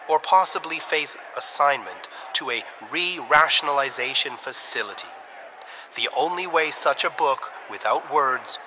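A man plays a solemn news reporter in an audio drama. His voice is mid-pitched and formal, reading a bulletin with clear outdoor noise behind it. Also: lightly acted. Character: solemn male news reporter for an oppressive regime.

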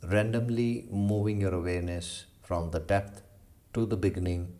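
Low deep male voice, yoga teacher doing guided meditation, slow and measured w long pauses. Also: fairly even intonation, dark tone. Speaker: yoga instructor.

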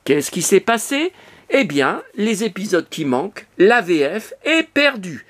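A man's voice: high voice, sing-song, a little slow, a bit bright. A man in his apartment talking casually to camera about his collection, digressive, with many hesitations and restarts. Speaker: collector vlogger. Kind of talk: rambling casual monologue to camera. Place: cluttered apartment, indoors.

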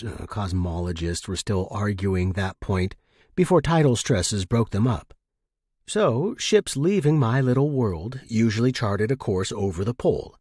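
Husky man narrating a story, very animated pitch. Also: no room sound, studio quality. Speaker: audiobook narrator reading short fiction. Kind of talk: expressive first-person story narration.

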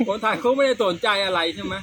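A man's voice: high voice, quick pace, a crowd in the background. A middle-aged man, telling comic stories casually as a show host, chatty, repeating words and using crude slang. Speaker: host of a comedy storytelling show.

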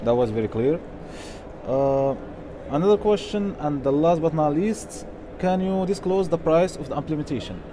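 A man with a slightly high voice moderates a question session on a conference stage. He reads questions out for the speaker. Noticeable background noise sits around his voice. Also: animated, normal speed. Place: on stage in a large conference hall. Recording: clear background noise, live event.

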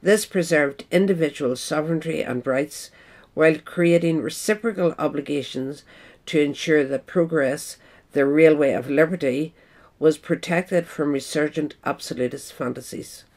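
Male narrator, slightly high voice, formal and measured delivery for a written essay. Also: a little mellow. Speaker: reader.

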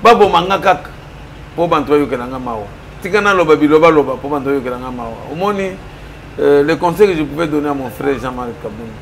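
Emphatic Congolese man, slightly high voice. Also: expressive, quick pace, rough recording. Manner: switches between Lingala and French, emphatic and argumentative. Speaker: political commentator. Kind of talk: studio interview. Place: small indoor office room.

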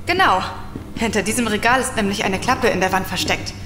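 A woman's voice: mid-range voice, fairly quick, heavy background noise, close to an everyday voice. An actress as a coy, self-assured young woman. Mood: confident, chatty. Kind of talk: German audio drama acting.

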